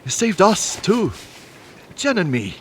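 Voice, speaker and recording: A man plays a devoted working husband in a radio drama. His earnest male voice is fairly high and lively, a little halting, over faint noise. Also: full character voice. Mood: grateful. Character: warm, devoted husband and manservant, shaken but grateful. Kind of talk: audio drama acting.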